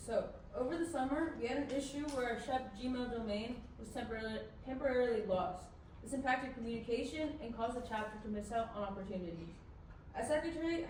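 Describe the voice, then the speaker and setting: A woman's voice: a little high, a little mellow, slight background noise. A woman on a student panel, reading a prepared question for a candidate. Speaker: panel member. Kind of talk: asking interview question. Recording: very echoey room, from far off.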